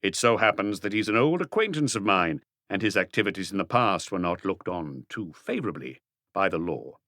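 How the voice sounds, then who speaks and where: A man voicing a calm, ironic police inspector who questions in an unhurried, probing way in a mystery audiobook. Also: low-pitched, no echo, clean studio sound, full character voice.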